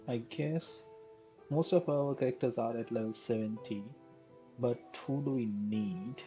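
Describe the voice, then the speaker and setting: A man's voice: mid-range voice, dark tone, rough recording. A man giving casual live gameplay commentary as a streamer, muttering short reactions and questions to himself. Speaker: game streamer. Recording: game music behind. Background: game music.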